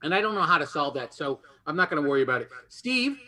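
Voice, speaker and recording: A man hosting a quiz show in a lively, partly improvised style, introducing and explaining as he goes. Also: a little high, quick pace. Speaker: game show host.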